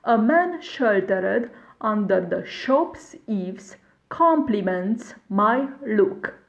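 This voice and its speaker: A woman from Romania, a poet introducing herself and reading her surreal poem slowly and carefully. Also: medium pitch, expressive, gravelly, highs cut off. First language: Hungarian. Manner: slow, measured reading with pauses. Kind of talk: poetry reading.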